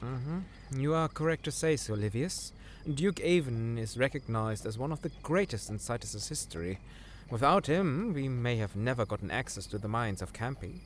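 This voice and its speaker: A man's voice: mid-range voice, expressive, moderate pace, slight background noise, lightly acted. A man playing an earnest, deferential scholar serving royalty in a fantasy audio drama, formal and slightly hesitant. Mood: earnest explaining.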